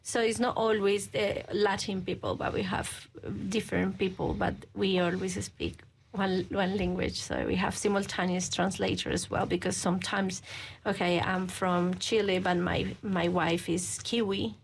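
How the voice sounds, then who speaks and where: A woman's voice: medium pitch, level tone, vocal fry, slight background noise, low-quality recording. Earnest woman. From Colombia. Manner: earnest, halting English with frequent restarts. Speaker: guest, church women's ministry leader. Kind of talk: interview answers.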